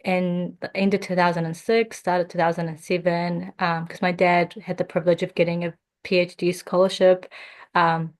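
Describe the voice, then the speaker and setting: A young woman from Jordan, speaking as a podcast guest in a thoughtful, measured way with frequent pauses. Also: fairly low pitch, level tone. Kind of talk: reflective podcast conversation.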